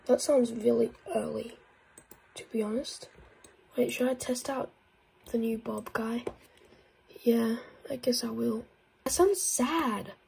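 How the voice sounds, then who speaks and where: Congested woman YouTuber, very husky and mellow tone, excitable gameplay chatter. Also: fairly high pitch, slow pace, long pauses. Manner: excitable, with frequent whispered asides. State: congested, croaky voice. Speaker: gaming YouTuber. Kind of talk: casual gameplay commentary.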